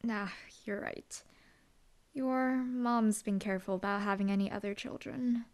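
A woman's voice: medium pitch, fairly even intonation, unhurried, close to an everyday voice. A young woman voicing a dry, teasing female hellhound demon bodyguard in an audio roleplay. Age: young adult. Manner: dry, teasing, laid-back.

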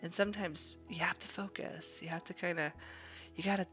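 A woman's voice: lowish voice, fairly even intonation, a little fast, fairly bright tone, soft background music. A woman art instructor giving a casual demonstration, thinking aloud in an encouraging way as she draws. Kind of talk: casual drawing demonstration narration.

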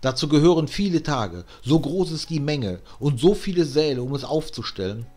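A man's voice: fairly high pitch, lively intonation, normal speed, darkish tone. A man voicing a faithful, courteous and cunning servant in a storybook reading. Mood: persuasive.

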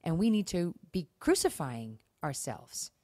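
A woman with a low voice that rises and falls animatedly as she co-hosts a podcast. She talks casually but earnestly, stressing her points and posing rhetorical questions. The sound is dry and close. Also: wildly swooping pitch, unhurried, dark tone, no echo. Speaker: podcast co-host. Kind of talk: casual conversational talk on marriage and faith.

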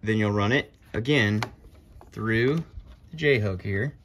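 A man's voice: lowish voice, slow, a little hiss or hum. Young man giving plain how-to directions outdoors. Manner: relaxed, plainspoken step-by-step directions. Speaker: kayaker demonstrating gear. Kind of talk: casual how-to instruction. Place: outdoors under a carport at night. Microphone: phone camera, moving around the car.

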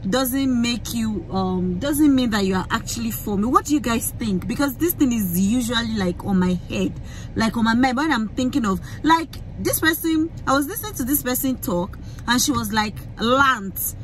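A young Nigerian woman with a Yoruba-flavoured accent, vlogging into a phone in a car, talking in an animated, emphatic way with many fillers and restarts. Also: a little high, moderate pace, a little hiss or hum, poor audio. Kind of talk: casual rant to camera. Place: inside a parked car. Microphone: phone camera held close.

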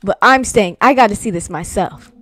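A woman playing a bossy, excitable young woman who panics easily in an amateur horror roleplay. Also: medium pitch, moderate pace, lightly acted. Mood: pushy. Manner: pushy, repetitive, excitable. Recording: music behind the voice.

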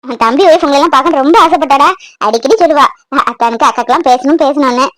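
A woman's voice: high-pitched, racing, fairly bright tone, no room sound, clean studio sound. A woman playing an affectionate elderly grandmother in a family drama, coaxing and fussing in a homely way.

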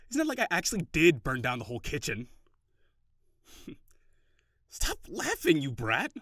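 A man playing an overworked office boyfriend in an audio roleplay. He sighs often, curses when frustrated and softens into affection. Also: fairly high pitch, wildly swooping pitch, normal speed, no echo, clean studio sound, full character voice. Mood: playful, teasing. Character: stressed, overworked office boyfriend, gruff but tender. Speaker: voice actor.

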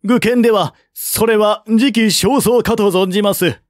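A man reading as a courteous envoy, pitched high. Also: measured pace, no echo, clean studio sound. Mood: earnest, persuading. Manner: polite, formal, reasoning step by step. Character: courteous, shrewd male envoy negotiating peace.

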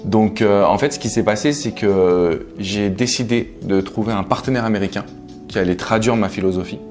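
A man answers questions in a relaxed, conversational interview. His voice sits fairly low, picked up by a lapel mic in a living room, with soft music underneath. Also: normal speed. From France. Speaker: interview guest, coach and entrepreneur. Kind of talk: casual interview talk. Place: living room, on a sofa indoors. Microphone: clip-on lapel mic. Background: background music.

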